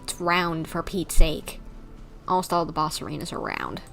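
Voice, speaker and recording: A woman's voice: deep voice, lively intonation, normal speed, vocal fry, fairly bright tone, soft background music. A woman game streamer giving casual, chatty, playful commentary on a livestream. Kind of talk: casual livestream gameplay commentary, reading dialogue choices aloud.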